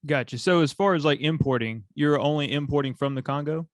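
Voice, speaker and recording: A man's voice: on the high side, brisk, no room sound. Laid-back male podcast host, dry sound. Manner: laid-back, casual slang, curious questions. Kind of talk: casual interview.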